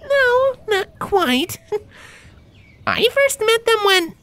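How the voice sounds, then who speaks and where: A man's voice: very high-pitched, very animated, extremely slow, bright, forceful tone, voice pushed up, heavily acted. A man voicing a friendly, thoughtful young female griffin with an earnest, chirpy manner, in a fantasy story reading. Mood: amused.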